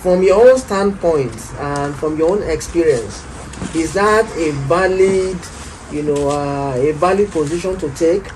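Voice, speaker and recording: A man's voice: on the high side, unhurried, a little hiss or hum, rough recording. A man interviewing a guest in a studio, asking long questions with frequent hesitations and repeated words. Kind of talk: conversational interview.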